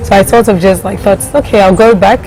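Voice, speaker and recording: A woman's voice: mid-range voice, fairly even intonation, fast talker, dark tone, soft background music, rough recording. A woman from Ghana who grew up partly in Germany, talking casually as an interview guest in an anecdotal, conversational way. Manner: conversational, anecdotal, quoting others in her telling. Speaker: startup CEO guest.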